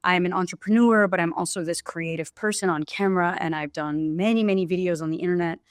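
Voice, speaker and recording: A woman's voice: on the low side, fast talker, dry recording, clean studio sound. A woman from Brazil, a podcast guest, talking openly and reflectively about her life in casual conversation. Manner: reflective, open storytelling. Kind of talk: casual interview chat.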